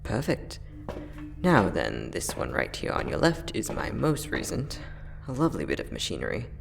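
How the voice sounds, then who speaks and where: A man playing a friendly, teasing time-traveling inventor, soft-spoken and chatty in an ASMR roleplay audio drama. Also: on the low side, huge pitch swings, normal speed, creaky, music in the background, heavily acted. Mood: eager, proud. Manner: soft-spoken, chatty, playful, with frequent chuckles.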